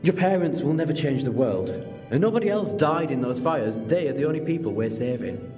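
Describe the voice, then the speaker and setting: An actor playing an earnest, principled time-travelling hero in an audio drama, reasoning in a measured way. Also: male voice, on the high side, lively intonation, close to an everyday voice. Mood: resolved. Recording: long reverb with music underneath.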